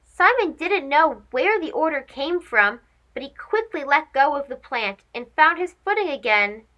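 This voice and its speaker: A woman narrating a children's story as a read-aloud host, expressive, dramatic and clearly phrased. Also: high-pitched, measured pace, muffled recording.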